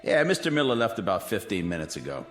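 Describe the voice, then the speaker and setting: A sardonic club manager. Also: male voice, low-pitched, big pitch swings, fast talker, faint music behind. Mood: dismissive. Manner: dry, mocking. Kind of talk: 1960s TV drama acting.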